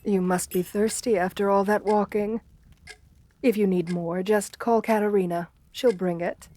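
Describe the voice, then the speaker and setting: A woman's voice: mid-range voice, steady tone, moderate pace, warm tone, a little hiss or hum, close to an everyday voice. A woman playing a seductive, assertive countess in an intimate ASMR roleplay, speaking in a genteel, soothing, flirtatious way. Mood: genteel, coaxing.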